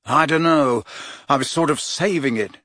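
A man's voice: a little high, normal speed, bright, forceful tone, no echo, pitched above the performer's own voice. A man voicing an earnest, reluctant teenage boy in an audiobook, understated and hesitant.

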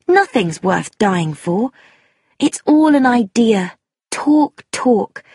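A woman's voice: a little high, big pitch swings, slow, a little mellow, husky, no echo, studio quality, voice pushed up, full character voice. A woman voicing an angry, bitter young woman in an audiobook, emphatic and defiant. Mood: anguished, bitter. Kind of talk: audiobook character dialogue.

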